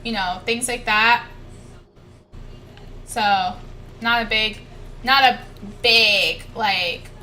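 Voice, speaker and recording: A woman's voice: fairly high pitch, fairly even intonation, drawn-out words, long pauses, bright, forceful tone, a little hiss or hum, rough recording. A young woman casually chatting on a game livestream, rambling and gushing, often repeating herself. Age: young adult. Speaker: streamer, VTuber. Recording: music behind the voice.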